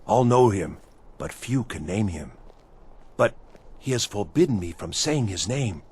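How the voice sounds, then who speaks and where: Frantic, pleading servant, husky and swooping. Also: male voice, fairly low pitch, animated, unhurried, heavily acted. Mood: reverent. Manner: theatrical, swinging from pleading to hushed awe. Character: frantic, pleading servant devoted to his vanished mad master. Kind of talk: video game character acting.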